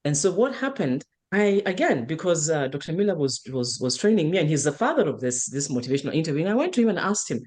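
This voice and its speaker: A woman's voice: low-pitched, sing-song, fast, few pauses, a little mellow. A woman in her fifties with a Kenyan accent, a counselor talking earnestly in an interview, often repeating words and restarting. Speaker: counselor and trainer. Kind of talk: casual interview conversation.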